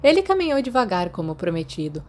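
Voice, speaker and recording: A woman's voice: on the low side, big pitch swings, fast talker. A woman with a Brazilian accent reading scripted fiction aloud as a narrator. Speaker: podfic narrator.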